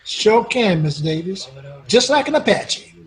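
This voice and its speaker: A man plays a humble, God-fearing townsman in an old radio western. His voice is high and his manner is meek. He speaks with polite deference. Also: very animated, a little slow. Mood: humble, earnest.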